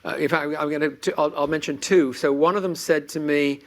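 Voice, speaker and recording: A man moderating a panel at a live conference, earnest and halting, often restarting his sentences. His voice sits a little high for a man. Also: fairly even intonation. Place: conference venue on stage.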